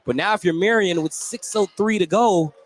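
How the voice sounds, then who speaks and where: An American man doing live play-by-play basketball commentary for radio, getting excited on big plays. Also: high voice, moderate pace, soft background music. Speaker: play-by-play sports announcer. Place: indoor basketball gym. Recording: noisy live gym broadcast. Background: loud gym ambience.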